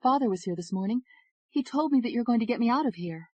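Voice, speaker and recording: A woman's voice: a little high, animated, fast, darkish tone, dry recording, pitched above the performer's own voice. A woman voicing a fragile young woman who speaks in a trembling, fearful way. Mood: frightened.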